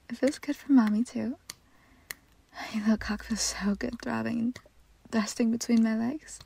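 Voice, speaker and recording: A woman speaking in a soft, breathy whisper in an intimate roleplay style, slow and soothing. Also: a little high, fairly even intonation, a little hiss or hum, low-quality recording.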